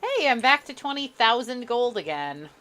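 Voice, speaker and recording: A woman's voice: fairly high pitch, sing-song, normal speed, pushed and bright, soft background music. A woman streaming a co-op game live, bantering with her co-player in a dry, sarcastic way. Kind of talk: casual gameplay chat with a co-player.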